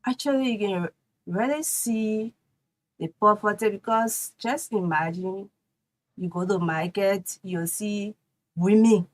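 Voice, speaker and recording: Liberian woman, steady interview guest. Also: mid-range voice, slow. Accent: Liberian English. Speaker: culinary instructor guest. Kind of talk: casual interview answers.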